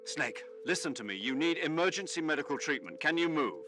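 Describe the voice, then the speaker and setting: A man's voice: fairly high pitch, lively intonation, brisk, natural voice. An actor playing a commanding officer on the radio, urgent and reassuring as he directs an injured agent. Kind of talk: video game cutscene acting. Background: music.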